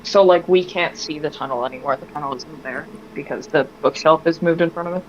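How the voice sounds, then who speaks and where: A woman's voice: low voice, fairly quick. A woman chatting casually as a player in a tabletop game. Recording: dull lo-fi mic, faint noise.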